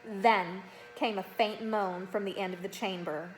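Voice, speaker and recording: A woman reading a children's fantasy novel aloud as an expressive, dramatic narrator. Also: medium pitch, measured pace, faint music behind, rough recording. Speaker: storybook reader.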